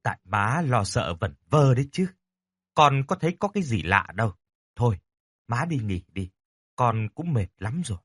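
Male narrator as weary grown son, storybook drama, quiet, controlled, firm, dry close sound, husky. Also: mid-range voice, very animated, moderate pace, no room sound. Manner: quiet, gentle but firm. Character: weary, restrained adult son, grimly controlled.

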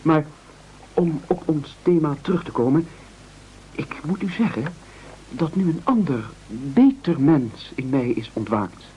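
A man's voice: fairly high pitch, lively intonation, unhurried, warm tone, a little hiss or hum. An actor playing a meek, eager man who nobly offers himself up as a sacrifice, stammering and speechifying self-importantly in an absurdist radio play. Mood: hesitant, anxious. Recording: muffled old radio broadcast recording.

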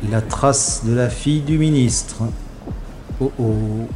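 An actor playing a wry, stubborn special agent who narrates his own science-fiction audio drama in a sardonic, deliberate way. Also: mid-range voice, music in the background, natural voice. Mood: rueful, wry.

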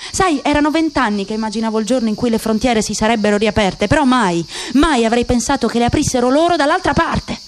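A woman plays a resentful married man in a radio drama, bitter and sardonic. The voice sits fairly high, and he pushes his points as pointed questions. Faint noise lies behind. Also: quick pace, hardly any pauses, close to an everyday voice. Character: bitter, resentful Palestinian husband driving home.

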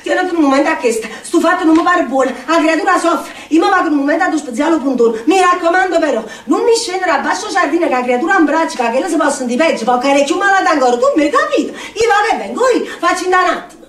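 A woman's voice: very high-pitched, expressive, quick pace, hardly any pauses, pitched above the performer's own voice. A woman performing a fretful, scolding Neapolitan wife and mother in a stage monologue, insistently piling up reproaches and warnings. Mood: anxious, bossy. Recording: echoey distant stage recording with noise.

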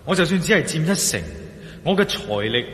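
An actor playing a shrewd, defiant adventurer hero in a Cantonese radio drama, speaking with dramatic scorn and a Hong Kong Cantonese accent. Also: male voice, big pitch swings, brisk, fairly bright tone. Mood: cool, shrewd. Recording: echoey hall, rumbling background noise.

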